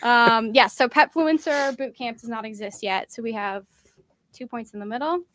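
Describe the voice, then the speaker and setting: A woman hosting a podcast quiz game, casually reading out each round and chatting in between, sometimes clearing her throat. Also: fairly high pitch, normal speed, a bit bright, dry recording.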